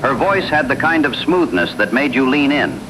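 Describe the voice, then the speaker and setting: A man's voice: sing-song, normal speed, over music, low-quality recording. A man reading a scripted horror story as narrator. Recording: loud rumbling radio-like background noise.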